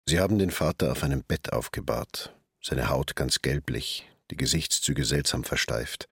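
A man's voice: very deep voice, moderate pace, creaky, fairly bright tone, slightly rough, no echo, clean studio sound, exaggerated voice. An actor playing a brooding, plain-spoken hairdresser, a fisherman's son, in a reflective radio drama that mixes narration and inner monologue. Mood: subdued, reflective. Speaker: actor who also narrates his figure.

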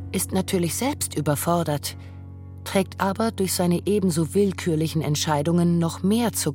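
Very low-voiced female radio play narrator. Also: a little mellow. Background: faint music.

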